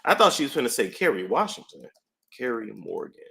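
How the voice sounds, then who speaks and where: Man, live-stream commentator, bright lively delivery, roomy echo, emphatic repeater. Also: medium pitch, brisk, gravelly. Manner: animated, emphatic, repeats phrases for effect.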